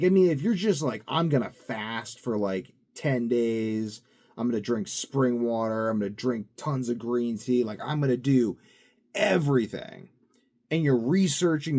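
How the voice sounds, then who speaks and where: A man's voice: fairly low pitch, a little mellow. A young man in a casual daily video diary, rambling and upbeat with lots of fillers and restarts. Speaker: vlogger.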